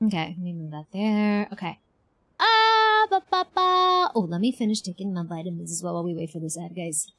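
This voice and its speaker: A woman's voice: lowish voice, big pitch swings, brisk, a bit bright, no echo. A woman streaming a game, chatting casually and expressively with viewers in an informal, slangy way. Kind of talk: casual livestream reaction chat.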